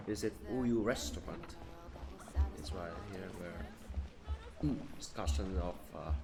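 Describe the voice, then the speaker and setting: Very slow, halting gamer. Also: sits low. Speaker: gamer playing and reacting. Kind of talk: casual, halting commentary. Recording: game music over the voice.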